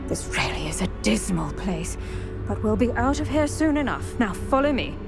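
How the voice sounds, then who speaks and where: An actor voicing an older retired Auror woman who speaks briskly and formally in scripted game dialogue. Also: mid-range voice, very animated, creaky, over music. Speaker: retired Auror guiding a student.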